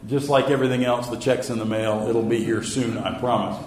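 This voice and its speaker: A slightly hoarse middle-aged pastor talks to his congregation in a folksy, easygoing way, filling pauses with "uh" and poking fun at himself. His voice sits at an ordinary middle pitch and carries a roomy echo from the church around him. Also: fairly even intonation, a little mellow, slight background noise. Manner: folksy, self-deprecating, many fillers. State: a little hoarse. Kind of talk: casual Wednesday night church talk.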